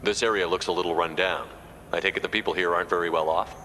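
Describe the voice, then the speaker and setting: A man's voice: deep voice, fairly even intonation, quick pace, sharp tone, a little hiss or hum, poor audio. A man playing a confident, wry military commander in a video game, with a direct, casual and dry way of talking. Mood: inquisitive, dry.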